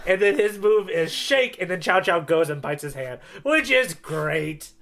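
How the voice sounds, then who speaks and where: Casual, slightly high-voiced man joking around. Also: expressive, a little slow, fairly bright tone. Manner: joking, informal. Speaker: podcast co-host. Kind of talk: casual chat about manga.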